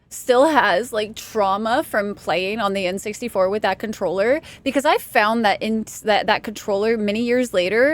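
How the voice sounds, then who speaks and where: A young woman from Texas streaming a game, chatting casually with her viewers, self-deprecating and quick to laugh. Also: a little high. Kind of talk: casual chat with stream chat while gaming.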